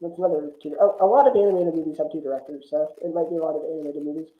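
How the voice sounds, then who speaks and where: A man's voice: a little high, very fast, phone-quality sound. A man talking casually and opinionatedly about films as a podcast guest. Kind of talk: casual film chat.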